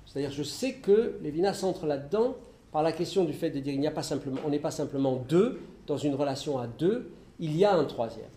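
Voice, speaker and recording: A middle-aged man lectures to an audience, thinking aloud with frequent restarts and filler sounds. His voice sits a little high and soft in tone. The hall is roomy and echoing, with faint background noise. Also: expressive, a little fast. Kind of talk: public philosophy lecture with questions. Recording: echoey hall recording.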